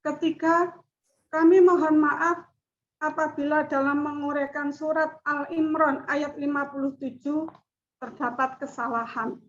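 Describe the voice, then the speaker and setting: Slow high-voiced woman presenting to a class. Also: fairly even intonation, a little hiss or hum. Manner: slow, measured speech with chanted recitation. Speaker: student presenter. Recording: dull lo-fi audio.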